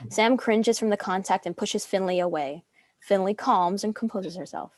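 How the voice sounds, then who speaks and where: A woman's voice: medium pitch, moderate pace, dry recording. A young woman reading screenplay stage directions as a narrator. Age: young adult.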